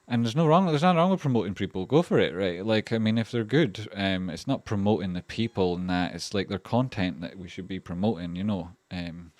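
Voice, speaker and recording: Low-voiced Scottish man, rambling stream chat. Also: brisk, hardly any pauses, a little mellow, dry recording. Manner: rambling and chatty, many fillers and restarts, swears freely. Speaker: livestream host. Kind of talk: casual livestream chat reading donations.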